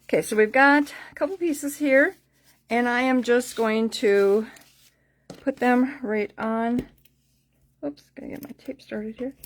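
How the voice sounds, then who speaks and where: A woman's voice: fairly high pitch, a little slow. A woman hosting a live card-making class in a casual, chatty, rambling way, repeating herself, her voice slightly worn as if she is losing it. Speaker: host of a card-making craft class livestream. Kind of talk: casual live tutorial chat with sales pitch.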